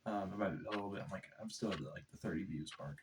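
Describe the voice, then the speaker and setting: A man's voice: low voice, fast talker, recorded from a distance, background noise. A young man with a stuffed-up nose from a cold, rambling in a casual vlog with lots of fillers and restarts. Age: young adult. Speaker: vlogger.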